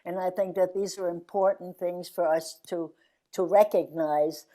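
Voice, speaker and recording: A woman's voice: fairly low pitch, fairly even intonation, a little slow, warm tone. An older woman in a reflective video-call conversation, speaking earnestly with frequent restarts. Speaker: interviewee.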